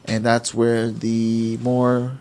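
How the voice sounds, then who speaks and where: A man's voice: mid-range voice, completely flat delivery, drawn-out words, a little mellow. A man in his thirties from New York, co-hosting a casual podcast chat, laid-back and rambling, often calling his friend "bro". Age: 30s. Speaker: podcast co-host.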